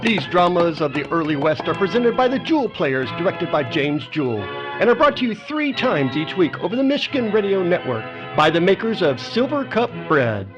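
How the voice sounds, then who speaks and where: An actor playing a declamatory American announcer for a 1930s radio western serial. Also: male voice, sits high, sing-song, moderate pace, hardly any pauses, dull audio, music in the background. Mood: formal.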